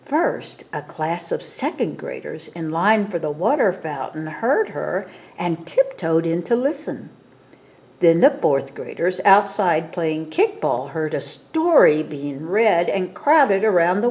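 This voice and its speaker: A woman reading a picture book aloud to children as a storytime reader, in a dramatic, expressive manner. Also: lowish voice, takes it slowly.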